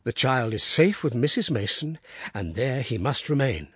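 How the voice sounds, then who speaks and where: A moody middle-aged father, as a man voices him, speaking low and heavily. The voice is husky and the room is dry. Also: expressive, normal speed, a bit bright, dry recording. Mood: brooding. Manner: low, subdued, brooding.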